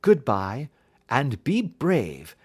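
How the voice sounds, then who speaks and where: A man voicing a keen, assured detective in an audiobook, explaining things precisely and deliberately. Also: mid-range voice, wildly swooping pitch, darkish tone, husky, voice pushed up, exaggerated voice. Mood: assured, urging. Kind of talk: audiobook character dialogue.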